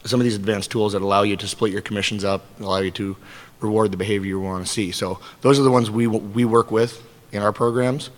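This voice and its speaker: A hoarse man speaking on a conference panel as an affiliate coordinator, in an informal manner and often clearing his throat. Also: fairly low pitch, fairly even intonation, fast. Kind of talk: conference presentation. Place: large conference hall. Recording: reverberant conference hall.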